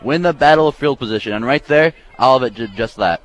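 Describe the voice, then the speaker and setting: A man's voice: mid-range voice, fast. An American man giving casual, conversational color commentary and analysis on a live football radio broadcast. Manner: casual, explanatory.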